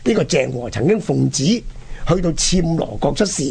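A man's voice: fairly high pitch, expressive, normal speed, gravelly, darkish tone, slightly rough. A man with a Hong Kong accent hosting a history programme, telling stories colloquially and posing rhetorical questions. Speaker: radio history programme host. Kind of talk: animated historical storytelling.

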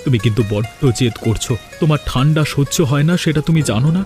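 A man's voice: medium pitch, fast, a little mellow, lightly acted. A man playing a poor, patient village husband in a cartoon, speaking in an earnest, reassuring way. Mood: protective. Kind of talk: Bengali cartoon voice acting. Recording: music behind the voice.

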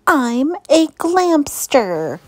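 A woman doing a gushing, excitable young girl hamster. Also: high-pitched, very slow, no room sound, clean studio sound, voice pushed up, exaggerated voice. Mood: proud, gushing. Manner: gushing, dramatic. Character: excitable, vain young female hamster chasing glamour. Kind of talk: children's storybook character voice.

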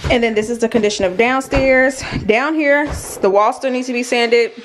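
A woman's voice: a little high, normal speed. A young adult woman chatting informally to viewers in a casual vlog, recorded indoors on a nearby camera. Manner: informal, addresses viewers directly. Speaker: vlogger. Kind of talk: casual vlog chat, narrating a house tour. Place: indoors, rooms of a house under renovation. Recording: clear background noise, clicks.

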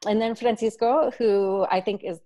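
A woman's voice: medium pitch, steady tone, moderate pace, warm tone. A woman in her thirties casually moderating a panel over a video call. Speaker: panel host.

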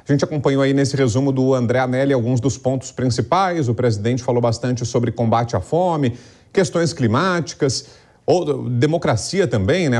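A man's voice: medium pitch, a little mellow. A Brazilian man in his thirties anchoring a news programme conversationally from a studio on a lapel mic. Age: 30s. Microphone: lapel microphone.

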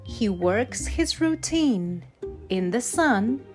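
A woman reads a rhyming picture book for children. Her delivery is extremely slow and deliberate, broken into short measured phrases. Faint instrumental music plays behind her. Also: fairly high pitch, animated. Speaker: storybook narrator. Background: instrumental backing music.

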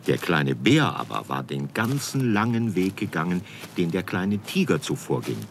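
A man narrating a scripted children's story as storyteller. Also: low voice.

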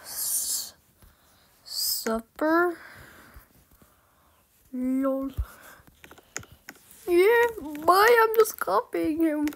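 A woman's voice: very high voice, animated, very slow, long pauses, a little hiss or hum. A woman hosting a casual gameplay video, teasing a friend playfully and often dropping into whispers. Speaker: gaming channel host.

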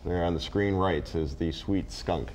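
A man's voice: low voice, moderate pace, darkish tone, a little hiss or hum. A man in his forties with an American accent, hosting a morning television show with casual, wry banter. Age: 40s. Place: TV news studio.

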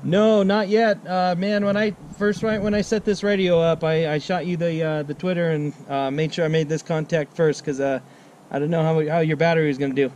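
A middle-aged American man chatting casually as a ham radio operator outdoors, halting and laid-back, repeating his phrases. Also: fairly high pitch, little pitch movement, muffled recording, very noisy. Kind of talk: casual radio contact chat. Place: outdoors at a picnic table on a mountain.

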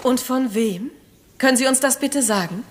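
A woman's voice: high voice, moderate pace. An actress playing an anxious, indignant English lady in a retro radio mystery, dramatic and emotional. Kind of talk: retro German detective radio play acting.

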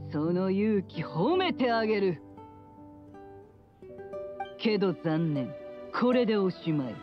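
A woman's voice: lowish voice, muffled recording. A woman voicing a pompous, taunting demon king of a dodo clan in a theatrical video game style. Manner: pompous, drawn-out, taunting delivery. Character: grandiose villainous demon king of the dodo clan, mock-menacing.

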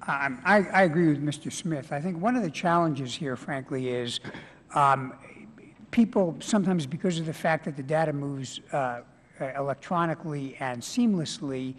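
A man's voice: fairly high pitch, normal speed. An older man testifying at a hearing, explaining his point with examples and a few hesitant fillers into a table microphone. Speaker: hearing witness. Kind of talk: congressional testimony. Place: large hearing room. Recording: reverberant hearing room.

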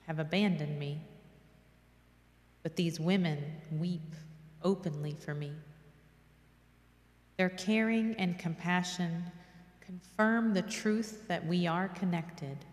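A middle-aged woman reading a scripted devotional and prayers in a solemn, measured, reverent manner at a church lectern microphone. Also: lowish voice, darkish tone. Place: large church interior, at a lectern. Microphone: microphone on lectern stand. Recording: echoey church hall with long reverb.

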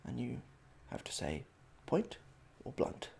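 Young male, ASMR medical roleplay. Soft-spoken, hesitant, awkwardly courteous, with vocal fry and varied intonation. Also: medium pitch, huge pitch swings, slight background noise. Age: young adult. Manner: halting, hesitant, awkwardly polite. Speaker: ASMR performer playing a medical examiner. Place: small domestic room indoors.

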